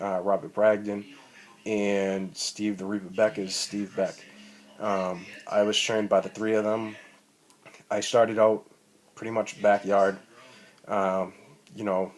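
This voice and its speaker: A man, rambling profanely and full of ums as he talks about wrestling into a tablet mic. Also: fairly low pitch. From Boston, Massachusetts. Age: 30s. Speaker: amateur wrestler. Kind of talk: rambling self-recorded vlog. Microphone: tablet built-in mic.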